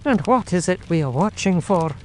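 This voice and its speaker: A man voicing a gruff, impatient, sceptical police inspector who grumbles in a dramatised audiobook. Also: high-pitched, animated, normal speed, slight background noise, voice pushed up.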